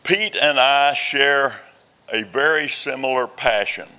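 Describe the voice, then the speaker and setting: A man's voice: medium pitch, slow, sharp tone, clear, resonant tone, rough recording. An older man introducing a guest speaker at a veterans' meeting, rousing the room and mixing in jokes. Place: meeting hall before a live audience.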